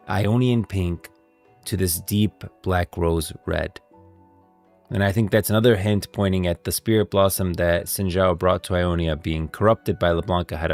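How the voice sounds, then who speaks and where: A man's voice: deep voice, a little mellow. A man hosting a stream of game lore commentary, moving between casual talk and scripted reading. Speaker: streamer, host.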